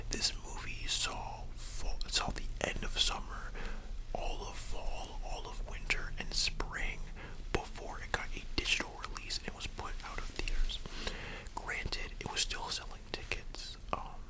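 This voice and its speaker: An ASMR host speaking in a breathy whisper, chatting casually and rambling as they address the listener directly. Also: normal speed, low-quality recording. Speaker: host of an ASMR discussion channel. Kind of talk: whispered ASMR chat, casual movie discussion.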